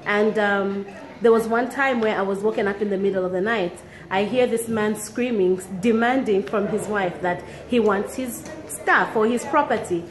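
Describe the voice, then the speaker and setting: A woman from Zambia with a Zambian accent, a blogger and activist telling a personal story in an animated, amused way. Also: mid-range voice, moderate pace. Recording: echoey room.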